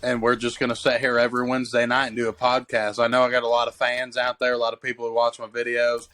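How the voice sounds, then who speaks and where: A man's voice: medium pitch, completely flat delivery, fast, bright, forceful tone. A man, co-hosting a casual podcast from a basement den and telling profane, rambling stories. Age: 20s. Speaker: podcast co-host. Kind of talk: casual chat. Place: cold basement den in a house. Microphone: handheld mic.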